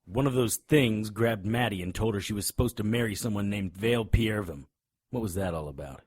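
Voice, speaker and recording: An actor playing a tough, wisecracking monster hunter in an audio drama, grim and cocky, with a husky voice. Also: male voice, on the low side, a little fast, a little mellow, no echo, clean studio sound, natural voice. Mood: menacing. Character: hard-boiled male monster hunter, grim, cocky, threatening. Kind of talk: audio drama acting with noir first-person narration.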